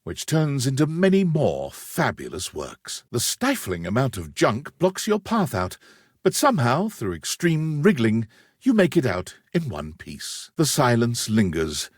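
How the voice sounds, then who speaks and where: A man narrating a quirky dating game in a measured, expressive storybook style. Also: mid-range voice, breathy edge, no room sound, studio quality, heavily acted. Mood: storytelling.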